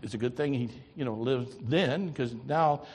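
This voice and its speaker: Older pastor preaching in a reverberant church. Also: male voice, mid-range voice, moderate pace, slightly rough. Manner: lively preaching, swings from loud to soft. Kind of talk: sermon.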